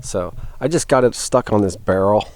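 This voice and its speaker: A man's voice: lowish voice, normal speed, darkish tone. A man hosting a gear review, talking casually and thinking aloud as he rambles through a hands-on demonstration. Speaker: host of a radio gear review channel.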